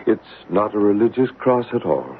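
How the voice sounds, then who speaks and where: A man playing a gentle, assured male psychic medium in a 1970s radio drama, explaining patiently. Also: lowish voice, very animated, normal speed, husky, heavily acted. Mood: reassuring. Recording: narrow radio broadcast with faint hiss.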